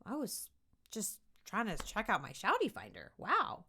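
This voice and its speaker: A woman's voice: medium pitch, huge pitch swings, unhurried, creaky, pushed and bright, breathy edge, full character voice. Woman playing a laid-back cool cartoon guy. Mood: relaxed surprise. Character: relaxed, cool male cartoon character.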